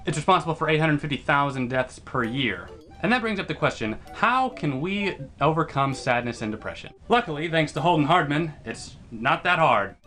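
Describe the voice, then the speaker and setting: Bright, forceful joking man presenting. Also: on the high side, lively intonation, normal speed, soft background music. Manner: playful, mock-dramatic presenting. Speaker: vlog presenter. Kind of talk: jokey scripted vlog intro.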